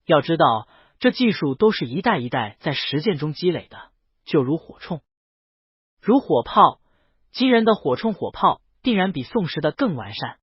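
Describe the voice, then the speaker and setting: Shrewd, teasing lord of an auction house male reader acting, measured delivery, animated pitch, slightly husky. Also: dry recording, lo-fi sound, heavily acted. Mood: assured, explanatory.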